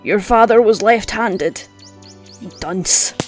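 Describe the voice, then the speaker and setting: An actress playing a gruff, scolding old woman who teaches hunting with blunt scorn in an audio drama. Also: mid-range voice, slow, lightly acted. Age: older. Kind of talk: audio roleplay drama acting. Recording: music under the voice.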